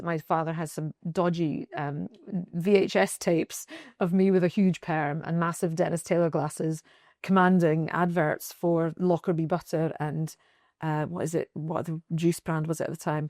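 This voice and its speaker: A woman's voice: sits low, a little mellow, dry recording, studio quality. A Scottish woman in her forties from the Shetland Islands, a podcast guest talking enthusiastically and rambling a little with restarts. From Shetland Islands, Scotland. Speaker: guest, fractional marketing chief. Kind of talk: casual interview chat.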